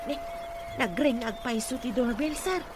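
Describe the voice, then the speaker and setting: A chatty, friendly housemaid acted by a woman with a high voice and a deferential manner. It is radio drama acting on a clearly noisy recording. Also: a little slow, close to an everyday voice.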